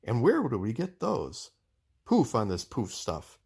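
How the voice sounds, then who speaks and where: Head toy maker for a storybook, acted by a man: male, grumpy yet excitable, fussy, animated swings in pitch. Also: a little slow, vocal fry, husky, exaggerated voice. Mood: grumpy, doubting.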